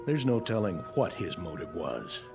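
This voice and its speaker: An actor playing a stern, skeptical general in a radio drama, curt and authoritative. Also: male voice, low voice, very animated, moderate pace, vocal fry, music in the background, heavily acted. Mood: stern, suspicious. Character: stern, skeptical American Air Force general.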